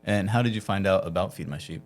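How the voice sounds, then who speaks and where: A man's voice: sits low, fast, soft background music. A man hosting a podcast, asking questions in a casual interview style. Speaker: podcast host.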